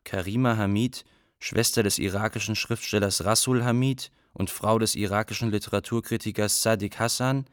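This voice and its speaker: An actor playing a sober chronicler, giving formal, detached report-like narration in a radio drama. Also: male voice, fairly low pitch, steady tone, normal speed, dry recording, clean studio sound, close to an everyday voice. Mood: grave report. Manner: factual, detached.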